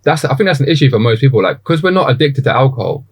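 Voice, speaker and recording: Man, twenties, podcast host, rapid-fire, slangy, rambling. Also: medium pitch, expressive, darkish tone, breathy edge. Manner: slangy, rambling, interrupts often. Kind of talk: casual podcast conversation.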